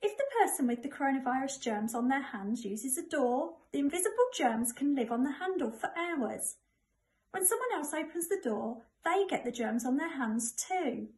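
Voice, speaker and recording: Middle-aged English woman, notably high voice, primary school storytime read-aloud. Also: expressive, moderate pace, darkish tone. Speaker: primary school staff member reading to pupils. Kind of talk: children's book read aloud.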